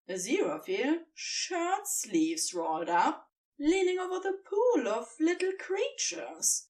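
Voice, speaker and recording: A woman with a highish, very animated voice reads fiction as an audiobook narrator. She phrases things dramatically and deliberately, pausing for emphasis. She sounds a little way from the microphone. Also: far from the mic. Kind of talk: expressive fan fiction narration.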